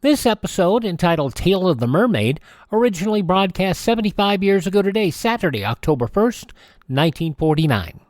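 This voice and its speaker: A man's voice: high voice, sing-song, a little fast, no echo. An American man reading scripted news and show introductions as a radio host in a studio. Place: close, dry studio recording.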